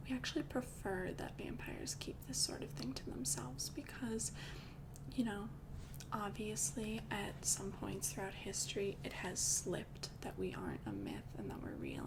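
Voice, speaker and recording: A young woman voicing a calm, doting female vampire girlfriend in an ASMR roleplay, speaking in soft, intimate whispers close to the mic. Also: medium pitch, vocal fry, rough recording. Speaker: ASMR creator.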